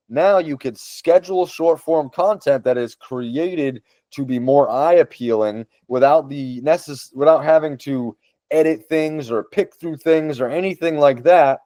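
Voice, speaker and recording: A man's voice: medium pitch, a little slow, warm tone, dry recording. A man with a Boston accent, a podcast host walking through social media tools in casual talk. He speaks with enthusiasm and repeats words for emphasis.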